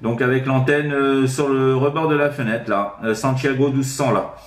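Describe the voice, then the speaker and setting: A man's voice: mid-range voice, fairly even intonation, normal speed, reverberant. A man making casual radio-contact chat as an amateur operator, repeating himself and ending phrases on little tag words. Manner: repetitive, with frequent tag words. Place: indoors at home, sixth-floor flat.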